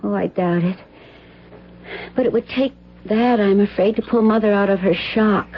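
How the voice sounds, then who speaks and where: An actress playing a worried, polite young adult daughter in a radio drama, with an earnest, genteel manner. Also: female voice, medium pitch, normal speed, lightly acted. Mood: subdued worry. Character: worried, polite grown daughter of a grieving widow. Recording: dull old radio broadcast, clear noise.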